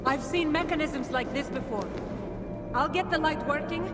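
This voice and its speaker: A woman's voice: high-pitched, normal speed, natural voice. Actress as a wry warrior woman, long reverb. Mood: calm, reassuring. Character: confident, teasing warrior woman from an ancient land. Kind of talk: video game cutscene acting. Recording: long reverb, loud music behind.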